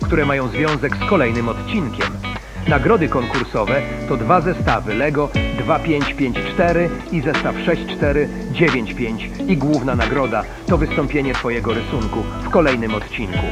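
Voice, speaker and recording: Guy with a medium pitched voice doing a promo read, loud guitar music going. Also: lively intonation, normal speed. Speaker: contest announcer. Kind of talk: scripted promotional announcement. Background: loud plucked guitar music.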